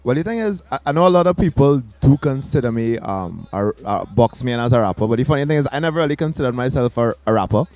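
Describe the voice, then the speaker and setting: A man's voice: mid-range voice, quick pace, few pauses. A young man with a Trinidadian accent, talking casually and reflectively as an interview guest, holding a handheld mic in a small lounge set. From Trinidad and Tobago. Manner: relaxed, reflective, with restarts and local slang. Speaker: guest musician.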